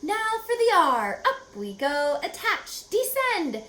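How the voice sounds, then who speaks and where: A woman's voice: extremely high pitch, big pitch swings, slow pace, sharp tone, roomy sound, slight background noise, voice pushed up, full character voice. A woman voicing a busy female storybook spider, chanting clipped, encouraging instructions to herself as she works. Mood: brisk, spirited.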